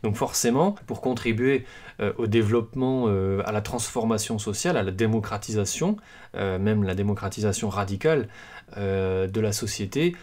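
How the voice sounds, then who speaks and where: A man hosting a podcast, talking through a book's ideas in a loose, unscripted way, with frequent pauses and restarts. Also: lowish voice, darkish tone. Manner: hesitant, many fillers and restarts; self-deprecating humor. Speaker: podcast host presenting a book. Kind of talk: casual spoken book presentation.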